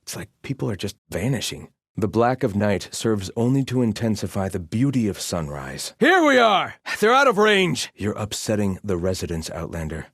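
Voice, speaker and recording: Haughty low-voiced soldier. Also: male voice, huge pitch swings, moderate pace, a bit bright, no room sound, clean studio sound, exaggerated voice. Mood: grim, disdainful, then urgent battle shouting. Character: haughty, devout male soldier of a sun-worshipping empire. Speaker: game voice actor. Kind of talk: scripted video game barks and lines.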